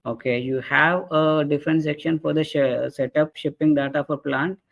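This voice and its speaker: Dull, lo-fi webinar audio carries a man with a moderately high voice. He explains software step by step like a trainer. His delivery is halting, punctuated by small tags checking that listeners follow. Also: fairly even intonation, gravelly. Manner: halting, repeatedly checks with "right?" and "okay".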